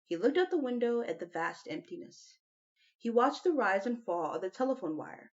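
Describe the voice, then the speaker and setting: Lady reading a kids book out loud, voice goes up and down a lot, kinda muffled lofi audio. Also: on the high side, sing-song, moderate pace. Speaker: audiobook reader. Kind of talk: narrated children's novel reading.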